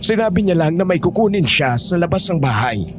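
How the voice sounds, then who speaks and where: A man playing a blunt, excitable member of a household in peril in a horror audio drama. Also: sits high, brisk. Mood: anxious. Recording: music behind the voice.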